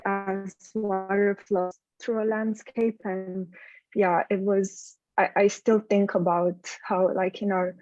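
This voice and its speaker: A woman sharing a memory in a group call, hesitant and measured, searching for words. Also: medium pitch, level tone, darkish tone, no echo, muffled recording, soft background music. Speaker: workshop participant.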